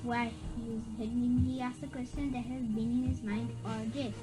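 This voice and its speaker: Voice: medium pitch, flat delivery, moderate pace, low-quality recording. Someone reading a children's spooky story aloud, stumbling and repeating words along the way. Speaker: reader. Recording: background music. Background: music.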